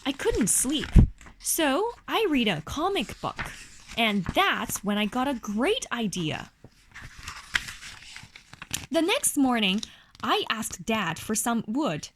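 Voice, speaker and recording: A woman's voice: a little high, background noise. A woman with a Chinese accent reads a children's picture book aloud expressively, as a parent would to a young child. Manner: slow, animated storytelling for a young child. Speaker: parent reading a bedtime story to a child.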